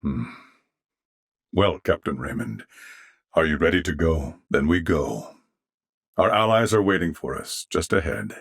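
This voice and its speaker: An earnest mechanical life form from a video game. The voice sits very low with a husky grain, captured close and dry. Speech is formal, measured and unfailingly polite. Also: male voice, animated, no echo, exaggerated voice. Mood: calm, resolved. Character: courteous, earnest adult male mechanical alien life form. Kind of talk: video game character dialogue.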